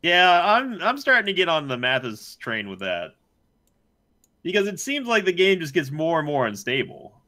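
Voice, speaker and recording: A man's voice: on the high side, huge pitch swings, normal speed, bright, forceful tone. A man chatting casually and bantering with friends in a group voice chat while gaming.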